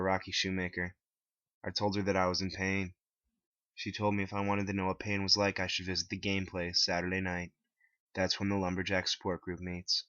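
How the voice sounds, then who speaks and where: A man reading his own story aloud as a scripted dramatic narration in a deep voice. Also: bright, forceful tone, dry recording. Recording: dull lo-fi, dry close recording.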